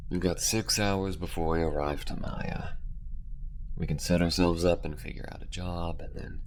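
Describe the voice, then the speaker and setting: A man playing a gruff but fond alien smuggler in an audio roleplay, speaking low-key and haltingly in a gravelly voice. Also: darkish tone. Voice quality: very deep, gravelly. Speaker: voice actor.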